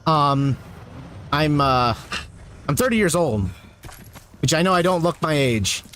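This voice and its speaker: Man, 30s, game streamer, animated and self-mocking, swooping intonation, slightly high voice, desk mic. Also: unhurried, a little hiss or hum. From United States. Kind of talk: live gameplay commentary, chatting with viewers.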